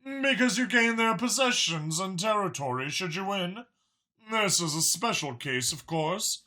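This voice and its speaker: Audiobook dragon spymaster, male actor: mild-mannered yet ruthless, formal diction, forceful edge, very animated pitch. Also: a little slow, heavily acted. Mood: grave, explanatory. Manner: articulate, formal, matter-of-fact about killing. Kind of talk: audiobook character dialogue.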